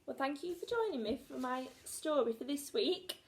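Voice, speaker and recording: A woman's voice: high voice, expressive, moderate pace. A woman teacher reading a children's story aloud expressively, recorded in an echoey room.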